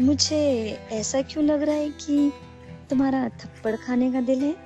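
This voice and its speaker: A woman's voice: high voice, steady tone, measured pace, dark tone, dull audio, faint music behind. A woman acting a witty young woman in a radio play, answering her fiancé with playful teasing retorts. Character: witty young woman, loyal, quick to tease. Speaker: lead actress in a radio play.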